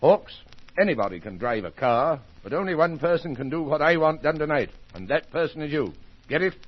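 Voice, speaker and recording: An actor playing a brisk, authoritative police inspector in an old-time radio mystery, speaking in a clipped, commanding way with a husky voice. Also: male voice, a little high, big pitch swings. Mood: intent, persuasive. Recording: dull old radio recording.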